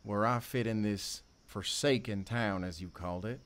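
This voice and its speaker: A man playing a sardonic, wounded ex-convict with a soft heart in an emotional audio drama. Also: fairly low pitch, moderate pace, natural voice. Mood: earnest, confessional.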